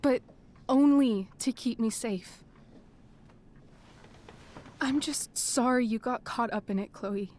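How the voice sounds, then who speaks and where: A woman playing a poised, persuasive teenage girl in a video game, speaking in an earnest, measured way. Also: fairly high pitch, a little mellow, slight background noise. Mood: contrite, earnest. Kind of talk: naturalistic video game acting.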